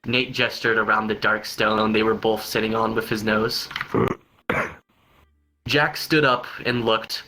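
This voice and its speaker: A man's voice: fairly low pitch, steady tone, slow pace, pauses often, bright, forceful tone, soft background music, low-quality recording. A man reading a story aloud unrehearsed, often stumbling and repeating words. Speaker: reader of a long joke story.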